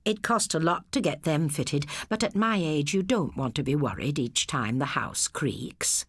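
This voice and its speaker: A woman voicing a kindly, granny-like old shopkeeper in an audiobook, cosy and unhurried. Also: sits low, animated, slightly rough, no echo, studio quality, exaggerated voice. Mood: cosy, confiding.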